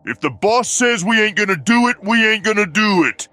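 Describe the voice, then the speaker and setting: A man voicing a big, brutish, menacing henchman who talks bluntly and forcefully in a comic dub. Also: high-pitched, expressive, moderate pace, faint music behind. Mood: gruff.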